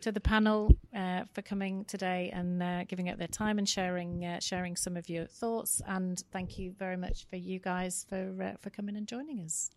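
A woman hosting a live panel, giving thanks with filler-laden pauses. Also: on the low side, steady tone, background noise. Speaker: panel host.

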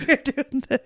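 A woman's voice: high-pitched, a bit bright, dry recording, clean studio sound. A playful, giggly woman co-hosting a podcast, chatting casually and singing along over music. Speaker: podcast co-host.

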